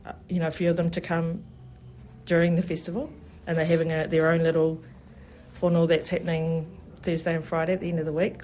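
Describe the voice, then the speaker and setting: New festival organiser, mid-pitched and enthusiastic, a rambling interview guest. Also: a little mellow, slight background noise. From New Zealand. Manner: enthusiastic, rambling, many "you know" fillers. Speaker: festival event specialist. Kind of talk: radio interview.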